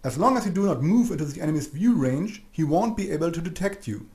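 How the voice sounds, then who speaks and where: A man with a slightly high-pitched voice narrates an instructional video. He reads from a script in a measured, didactic way, speaking straight to the listener. The voice-over sounds roomy, with noticeable echo. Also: animated, a little mellow.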